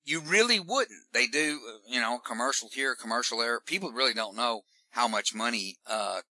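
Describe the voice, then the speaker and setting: A man with a Southern Texan accent, a comedian giving folksy, animated advice in an interview, often repeating words as he starts a sentence. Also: medium pitch, moderate pace, dry recording. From Texas. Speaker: stand-up comedian guest. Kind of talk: casual interview talk.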